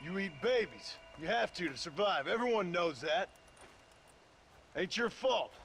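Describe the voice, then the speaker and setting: A man's voice: high-pitched, animated, a little slow, fairly bright tone, a little hiss or hum, heavily acted. A man playing a cynical Western gunslinger in a video game, dry, blunt and sardonic. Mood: scornful. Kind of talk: video game cutscene acting.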